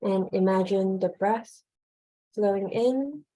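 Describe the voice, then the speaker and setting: A woman's voice: mid-range voice, takes it slowly, mellow, low-quality recording. A woman emcee moderating an online conference over a video call, polite and hesitant with many fillers.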